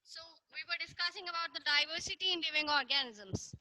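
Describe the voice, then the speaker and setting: An Indian English-accented woman teaches a science class online, speaking to the children and tagging points with "isn't it?". Her voice sits high and bright. The sound is dry and close, with no room echo. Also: a little fast. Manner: frequent "isn't it?" tag questions, talks to children directly.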